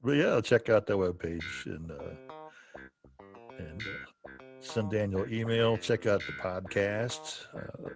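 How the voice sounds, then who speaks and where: A man's voice: lowish voice, animated, slow, faint music behind. A man hosting a podcast, talking in a chatty, casual way and chuckling as he speaks. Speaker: podcast host. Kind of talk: casual conversational interview.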